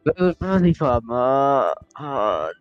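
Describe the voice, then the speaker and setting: A man's voice: medium pitch, big pitch swings, slow, soft background music. A man giving casual gameplay commentary on a livestream. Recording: dull lo-fi voice chat audio.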